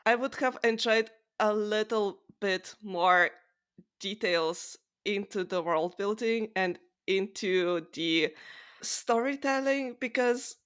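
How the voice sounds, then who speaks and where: A woman's voice: mid-range voice, slow, fairly bright tone, smooth. A woman co-hosting a podcast, talking through books in a casual, opinionated way. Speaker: podcast co-host. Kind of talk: casual book discussion.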